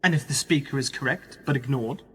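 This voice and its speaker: An actor in a radio play voicing a skeptical journalist, with a husky voice and a dry, probing, challenging manner. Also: male voice, medium pitch, a little fast, fairly bright tone. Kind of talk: radio drama dialogue. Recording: long reverberant hall, music underneath. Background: music.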